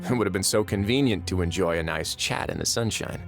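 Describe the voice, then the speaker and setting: An actor playing a courteous, urbane and gently ironic young duke in a video game. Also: male voice, sits low, a little fast, music in the background. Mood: courteous, wry. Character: composed, genteel younger Duke who runs an undersea prison. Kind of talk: video game character acting.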